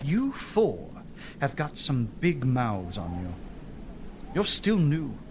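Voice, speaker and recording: Boastful, sneering knight with swooping pitch. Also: male voice, huge pitch swings, background noise, exaggerated voice. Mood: veiled threat. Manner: mocking, theatrical, drawn-out delivery. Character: boastful, sneering senior knight with sly insinuating temper. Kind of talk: audio drama acting.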